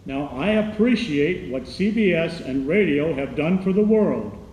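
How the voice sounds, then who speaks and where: Radio docudrama role: earnest male listener, slightly high voice, declamatory, echoing hall. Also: expressive, a little slow, darkish tone. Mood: earnest, disappointed. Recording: echoey hall, faint noise.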